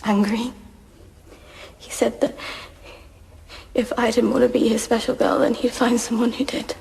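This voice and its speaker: High-voiced, faltering teenage girl. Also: level tone, vocal fry, a little mellow. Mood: uneasy, subdued. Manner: halting, faltering. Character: frightened teenage girl testifying in court. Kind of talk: audio drama courtroom acting. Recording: echoey hall reverb.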